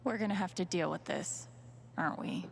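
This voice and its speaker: Easygoing young woman, creaky voice. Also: lowish voice, normal speed, a little hiss or hum. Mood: casual, curious. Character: easygoing, friendly young woman in a story game. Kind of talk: naturalistic game acting.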